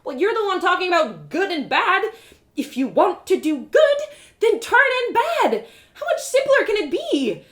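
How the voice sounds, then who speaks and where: A woman's voice: extremely high pitch, wildly swooping pitch, moderate pace, voice pushed up, full character voice. Woman acting a hot-tempered, indignant young girl. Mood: scornful. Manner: emphatic, indignant questioning.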